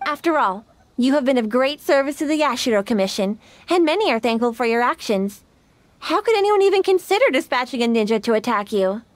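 A woman's voice: fairly high pitch, expressive, dry recording, lightly acted. A woman voicing a polite, dutiful young shrine maiden who speaks formally. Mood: composed. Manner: formal, courteous, measured. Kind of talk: game voice acting.

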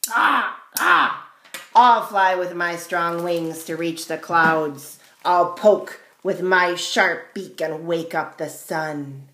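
A woman voicing a boastful storybook crow with loud, emphatic declaiming and caws. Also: fairly low pitch, slow pace, hardly any pauses. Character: boastful, cawing night-dark crow. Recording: roomy, echoing space.

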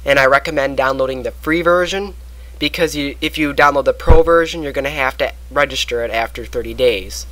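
A man narrating a software tutorial step by step, recorded close on a computer microphone. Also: medium pitch, brisk, dry recording, low-quality recording. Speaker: tutorial presenter. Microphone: close computer microphone, voice-over.

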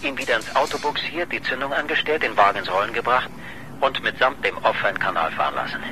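Pushy, bright-voiced reporter. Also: male voice, medium pitch, expressive, fast, faint music behind, low-quality recording. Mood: theorizing, insistent. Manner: needling, insistent, sarcastic. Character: pushy, sardonic male newspaper crime reporter. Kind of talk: German radio crime drama acting.

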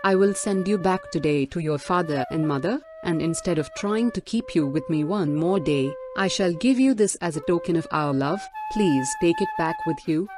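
A man voicing a gentle young sea princess, speaking softly, sadly and pleadingly. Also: a little high, huge pitch swings, moderate pace, hardly any pauses, over music. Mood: sorrowful. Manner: soft, sad, pleading. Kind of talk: storybook reading.

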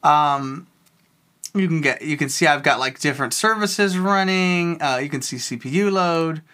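A tech video host giving a casual, rambling walkthrough of a software tool, thinking aloud while clicking. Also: medium pitch, moderate pace.

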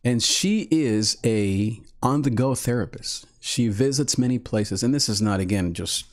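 A man's voice: mid-range voice, a little slow. A middle-aged man, a pastor, talking animatedly to livestream viewers from a small home office into a close studio mic. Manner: animated, gestures a lot with his hands while talking. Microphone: large studio mic on a boom arm, close.

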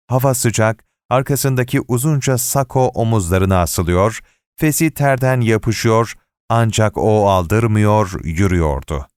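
A man reading a short story aloud as an audiobook narrator, in an expressive storytelling manner. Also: lowish voice, measured pace, dry recording, clean studio sound. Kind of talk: scripted short story narration with acted dialogue.

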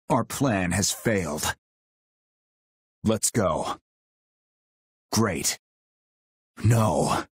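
A husky, very low-voiced male warrior for a fantasy video game, loyal and stern. He speaks slowly in short, formal, restrained phrases, recorded close and dry. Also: no room sound, heavily acted. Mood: grave. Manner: solemn, formal, restrained, terse. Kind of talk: video game battle voice lines.

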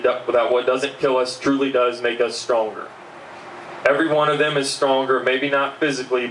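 Young guy reading a heartfelt speech at a backyard benefit, handheld mic, camera far off, echoey with a low hum. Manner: earnest, steady, fillers between points. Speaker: keynote speaker at a benefit. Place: outdoor backyard party. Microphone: handheld mic, recorded by far camera. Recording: distant roomy outdoor sound, faint hum.

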